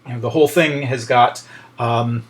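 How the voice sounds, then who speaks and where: Man giving a halting, informal lecture. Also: medium pitch, fairly even intonation. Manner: halting, thinking aloud, with many hesitations.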